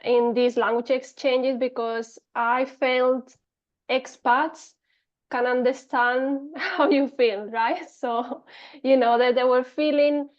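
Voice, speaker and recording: A woman's voice: sits high, fairly even intonation, slow pace, highs cut off. A Spanish-accented woman in her thirties, a podcast guest talking casually with many fillers and restarts. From Spain. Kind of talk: casual interview talk.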